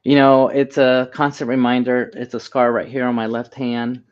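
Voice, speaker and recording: A man's voice: mid-range voice, flat delivery, moderate pace. An American man speaking earnestly and conversationally as an interview guest, on dull, lo-fi audio. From United States. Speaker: interview guest, healthcare AI expert and doctor. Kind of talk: conversational interview, advice and personal stories.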